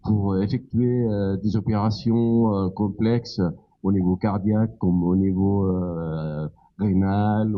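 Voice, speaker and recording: Halting, low-voiced older man from Palestine. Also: dull audio. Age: middle-aged or older. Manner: halting, searching for words. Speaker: guest speaker, doctor. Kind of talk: unscripted public talk.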